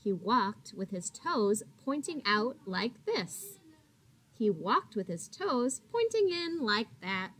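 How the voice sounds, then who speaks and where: A woman teacher reads aloud to small children. Her voice sits a little high and she takes her time, stretching words expressively as she tells the story. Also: sing-song, slow pace, fairly bright tone, poor audio. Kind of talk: picture-book read-aloud for young children. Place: small indoor classroom. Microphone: laptop or webcam at arm's length.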